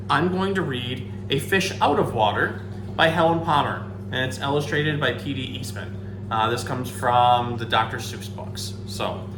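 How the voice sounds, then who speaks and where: A man hosts an animal storytime, explaining things in a casual, informal way. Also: medium pitch. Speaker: animal educator and storytime host. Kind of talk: informal nature lesson and picture book read-aloud. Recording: echoey room, clear background noise.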